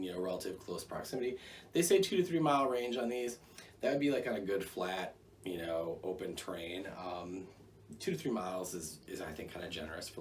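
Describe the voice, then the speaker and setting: An American man with a low voice talks through a gear review in a casual, rambling way. He sounds conversational and drops in joking asides. The mic picks him up from a distance, with faint noise behind him. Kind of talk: casual product review to camera.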